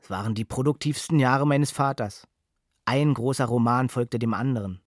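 An older man recalling his childhood as a writer's son, in measured, composed sentences. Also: medium pitch, no room sound, studio quality. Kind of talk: measured, scripted reminiscence.

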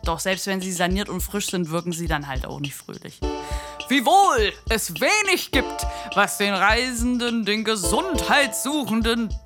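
A woman's voice: medium pitch, lively intonation, a little slow, close to an everyday voice. A woman narrating a literary radio play from a script. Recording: music bed behind the narration.

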